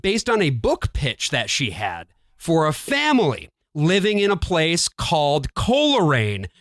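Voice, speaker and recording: A man's voice: on the high side, wildly swooping pitch, a little slow, a bit bright, no echo, clean studio sound. A man from Michigan chatting casually and comedically as a podcast co-host, profane and emphatic with mock exasperation. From Grand Rapids, Michigan.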